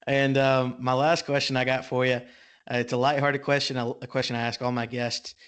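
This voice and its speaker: A man hosting a casual podcast interview, speaking in a halting, informal way. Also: medium pitch, little pitch movement, a bit bright, smooth. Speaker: podcast host.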